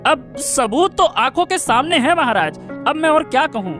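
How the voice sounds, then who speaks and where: Cartoon villain: scheming chief minister, very high pitch, menacing, self-important, drawn-out delivery. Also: male voice, big pitch swings, fairly bright tone, faint music behind, exaggerated voice. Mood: sly, insistent. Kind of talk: Hindi cartoon story voice acting.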